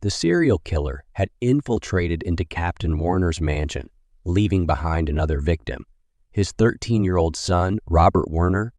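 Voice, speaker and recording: A man's voice: sits low, expressive, normal speed, a little mellow, dry recording. A man narrating a scripted crime story in a dramatic storytelling style.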